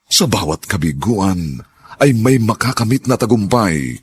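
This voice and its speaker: A man announcing and narrating a radio drama with sweeping, drawn-out declamation. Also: lowish voice, big pitch swings, slight background noise. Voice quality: husky.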